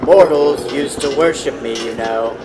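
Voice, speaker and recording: A man's voice: medium pitch, a little slow, very noisy, lightly acted. A man playing a world-weary, grandiose satyr warrior in a storybook, speaking in a theatrical, declamatory way. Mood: proud, defiant. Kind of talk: storybook character voice.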